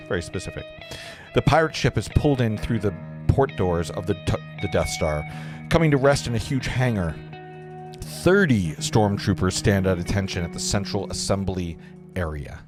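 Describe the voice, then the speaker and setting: A man's voice: sits low, expressive, normal speed, music in the background. A man narrating screenplay stage directions at a live table read, with casual asides.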